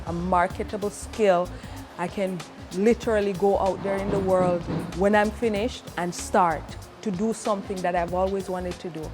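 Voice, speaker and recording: A woman, a student in a trade program, giving a personal testimonial in an interview style. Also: on the low side.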